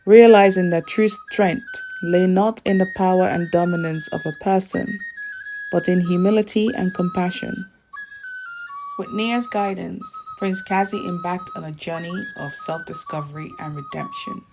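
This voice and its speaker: A woman reading a scripted folktale as a storyteller, in a measured storybook delivery. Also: medium pitch, big pitch swings, over music, poor audio.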